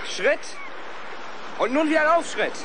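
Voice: high-pitched, lively intonation, a little slow. A young performer playing a confident, teasing boy who leads a gang of children in a 1970s radio play. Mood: commanding. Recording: noisy old vinyl, outdoor sound effects.

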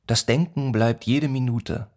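A man narrating a literary radio play with terse, deliberate phrasing. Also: mid-range voice, a little mellow, lightly acted.

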